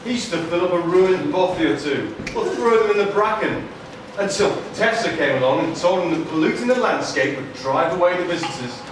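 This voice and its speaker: High-voiced man narrating in an echoey hall. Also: expressive, fairly quick. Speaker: puppet show narrator. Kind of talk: live storytelling narration for children. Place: live puppet theatre show. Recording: noisy echoey hall, far from mic.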